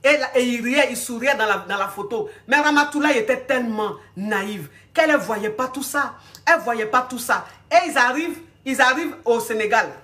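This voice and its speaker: Bright-voiced woman with a cold, storytelling. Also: fairly high pitch, normal speed. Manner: repetitive, emphatic, addresses viewers directly. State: has a cold. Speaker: video host telling a story. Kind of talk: casual storytelling to viewers.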